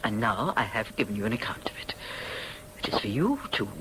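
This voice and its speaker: An actress playing a stern, dignified, guilt-ridden older upper-class mother in a radio drama, speaking formally and with measured precision. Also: extremely low pitch, big pitch swings, background noise. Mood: conflicted, sombre.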